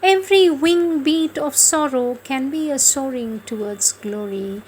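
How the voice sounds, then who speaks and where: A woman reciting spiritual prose devotionally, in a solemn, declamatory manner with measured phrasing and pauses. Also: high voice, darkish tone, slight background noise. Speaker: reader of spiritual writings.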